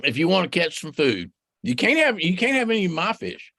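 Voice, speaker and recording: A storyteller drops into a sly old trickster fox from a folktale who talks in a folksy, drawling, scheming way. The voice stays close to the teller's own, with a lively swing in pitch, and sits dry and close to the mic. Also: a little fast, dry recording. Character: sly male fox, smooth-talking trickster. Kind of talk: oral folktale telling.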